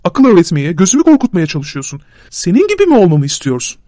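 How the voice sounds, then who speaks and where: A man's voice: high-pitched, huge pitch swings, fast, dark tone, no echo, studio quality. A man voicing a whiny, boastful young vagabond who pleads and talks down in a petulant way. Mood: pleading, boastful. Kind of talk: audiobook character voice.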